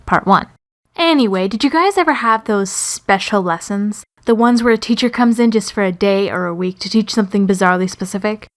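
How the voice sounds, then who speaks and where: A woman's voice: medium pitch, fairly quick. A woman narrating scripted comedic stories in a wry, sarcastic, exaggerating way. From Canada. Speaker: storyteller and animator.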